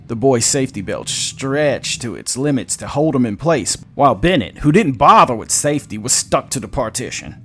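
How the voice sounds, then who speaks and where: A man narrating a sci-fi story in a casual, profane storytelling manner. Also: mid-range voice. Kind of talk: audiobook-style story narration.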